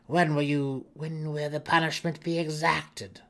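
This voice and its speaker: Ambitious dark elf matron performed by a man: raised pitch, emphatic, haughty, demanding, fantasy audiobook villainess. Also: little pitch movement, measured pace. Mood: smug, eager. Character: proud, ambitious female dark elf house matron, stubborn, indignant.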